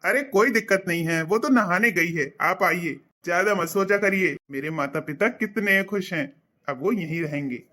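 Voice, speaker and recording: A man's voice: sits high, normal speed, pushed and bright. A young man voicing a devoted son in a cartoon, eager and reassuring. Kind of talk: cartoon voice acting.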